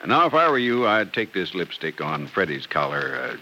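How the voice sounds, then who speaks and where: A low, bright-edged voice of a fatherly old American man in a vintage radio soap. He is folksy and wry, teasing gently and pausing as he gives advice, over a noisy old recording. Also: very animated, exaggerated voice. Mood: earnest advice. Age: older. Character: fatherly, wry old man advising a worried young wife. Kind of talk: old-time radio soap opera acting.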